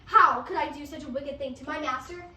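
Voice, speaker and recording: A woman's voice: high-pitched, fairly quick, pushed and bright. A woman playing an upright, loyal young male servant in amateur reader's theatre, speaking earnestly. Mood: indignant. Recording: roomy, distant, faintly noisy.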